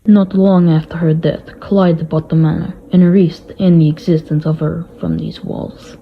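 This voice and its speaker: A woman's voice: lowish voice, flat delivery, moderate pace, creaky, muffled recording, a little hiss or hum. A woman playing a hot-tempered, grieving Russian male butler in an amateur radio play, emphatic and dramatic. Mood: defensive, grieving.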